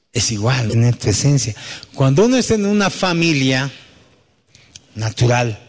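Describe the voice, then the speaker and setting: A man's voice: mid-range voice, very animated, a little slow. A man preaching as pastor to his church, speaking conversationally and often ending thoughts with a questioning tag. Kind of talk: sermon. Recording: long hall reverb.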